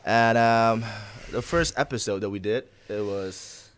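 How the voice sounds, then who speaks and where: Low-voiced man hosting football chat. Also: unhurried, clear, resonant tone. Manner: hesitant, many filler words. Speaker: host of a football talk show.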